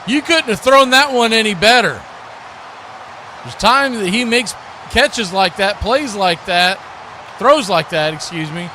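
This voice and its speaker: A man doing excited live play-by-play commentary of a football game. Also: high voice, a little slow, slight background noise.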